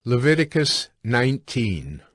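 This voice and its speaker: A man's voice: medium pitch, very animated, unhurried, a bit bright, no echo. A man narrating scripture from a script as the narrator of an audio drama.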